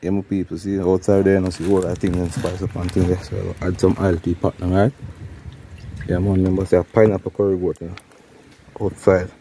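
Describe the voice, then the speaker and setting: A man's voice: deep voice, little pitch movement, a little fast, warm tone. A man with a Jamaican accent casually narrating his cooking as host, often repeating phrases and tags. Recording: clear background noise, pot sizzling and clatter.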